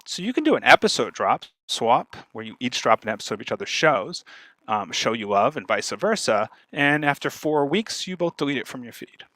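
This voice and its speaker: A man giving an informal instructional talk as a speaker, talking fast and animatedly and often checking in with his listeners. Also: medium pitch, dry recording. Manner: fast, animated talker who checks in with "right?".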